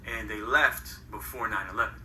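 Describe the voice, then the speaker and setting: A man's voice: on the low side, normal speed, bright, forceful tone, reverberant, recorded from a distance, slight background noise, rough recording. A man in his thirties from Connecticut, telling his story in a rambling, casual way full of slang. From Connecticut, USA. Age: 30s. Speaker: guest. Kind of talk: casual interview.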